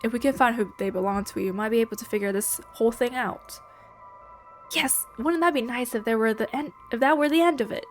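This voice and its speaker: A woman's voice: fairly high pitch, fairly quick. Stuffy-nosed woman gamer, faint music. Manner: reads lines and then comments playfully. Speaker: let's play gamer. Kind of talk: reading game dialogue aloud with reactions.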